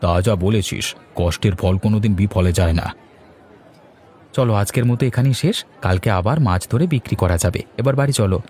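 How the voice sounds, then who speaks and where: Male actor as hardworking fish-seller brother for a village cartoon; mellow low voice, practical temper. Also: brisk, some chatter behind, slight background noise, natural voice. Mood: practical, hopeful. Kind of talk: Bengali cartoon dialogue.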